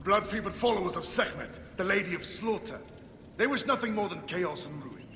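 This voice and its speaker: A proud, fervent leader from an ancient Egyptian game drama. His voice sits high and swings widely, ringing in a long reverb over noisy ambience. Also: expressive, brisk, sharp tone, heavily acted. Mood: earnest, grateful. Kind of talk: dramatic video game acting.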